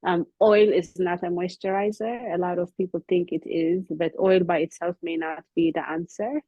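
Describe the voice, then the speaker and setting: A woman from Ethiopia, a company founder, explaining hair care in an earnest way, often pausing with ums, over a video call. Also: on the low side, steady tone, dry recording. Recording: dull, slightly lo-fi call audio.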